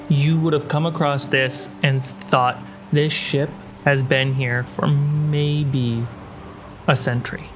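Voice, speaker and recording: A man narrating a tabletop role-playing game as game master, describing scenes in short phrases with pauses. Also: fairly high pitch. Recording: prominent music bed behind voice. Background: background music score.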